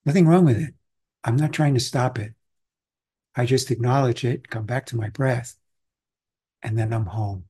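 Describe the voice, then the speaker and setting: A man from Austria, a meditation teacher, giving a calm, reflective and conversational Zen dharma talk. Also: mid-range voice, normal speed, pauses often, slightly rough.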